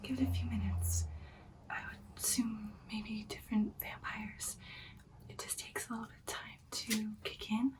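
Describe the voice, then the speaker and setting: Anxious, tender vampire girlfriend, acted by a woman for ASMR roleplay: higher-pitched whisper, soothing and halting, slightly away from the mic. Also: little pitch movement, distant mic, lightly acted. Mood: concerned, coaxing. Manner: soft, hushed, halting, soothing personal attention. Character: caring, anxious vampire girlfriend tending the wounded.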